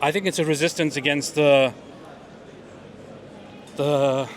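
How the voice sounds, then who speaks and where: Halting, thoughtful man being interviewed. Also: a little high, steady tone. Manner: thoughtful, halting, many fillers. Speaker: rally attendee interviewed. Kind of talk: outdoor interview answers. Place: outdoor street rally.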